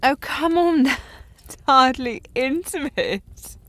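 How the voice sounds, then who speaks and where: Slow-talking tipsy young noblewoman, played by an actress. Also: sits high, fairly bright tone, dry recording. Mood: playful, giddy. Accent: affected, sliding period accent. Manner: slightly slurred drunk delivery, playfully imperious, formal wording. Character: tipsy, haughty, teasing young noblewoman of a bygone era. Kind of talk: intimate audio roleplay, period drama.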